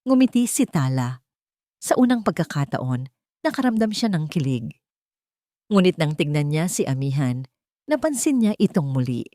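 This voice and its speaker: Woman storyteller, lowish voice, dramatic scripted narration, close dry sound. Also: very animated, normal speed, pauses often, dark tone, dry recording.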